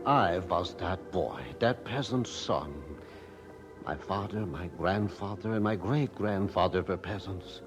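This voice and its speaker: An actor playing an older reformer narrating his own life story in a radio drama, measured and reflective. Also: low-pitched. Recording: music under narration.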